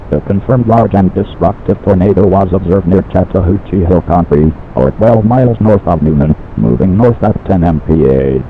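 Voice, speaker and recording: A deep-voiced man reads an emergency weather bulletin in a formal, mechanical manner. His delivery is clipped, like an announcer working through a list. The sound is narrow and phone-like, with faint noise. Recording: muffled phone-like radio broadcast with noise.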